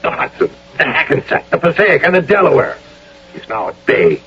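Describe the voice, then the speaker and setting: Blustering military colonel, wildly varied pitch, gravelly, condescending; muffled vintage radio sound. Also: male voice, sing-song, moderate pace. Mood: dismissive. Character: pompous, overconfident Hessian colonel, mature man. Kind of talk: radio drama acting. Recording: muffled radio recording with hum.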